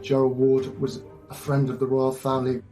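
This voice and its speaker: Medium-pitched man commenting over faint music. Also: monotone, moderate pace. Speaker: royal commentator. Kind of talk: interview commentary.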